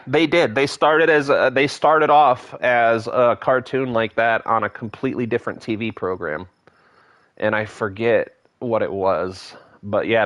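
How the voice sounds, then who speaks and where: A man's voice: lowish voice, fairly bright tone. A man in his thirties giving casual, rambling commentary while playing a space game, thinking aloud as he builds. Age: 30s. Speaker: game streamer.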